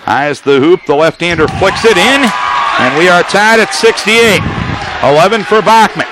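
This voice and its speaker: A man calling a basketball game as the radio play-by-play announcer, with rapid calls that climb into shouts on big plays. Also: sits high. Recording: live gym broadcast with crowd noise.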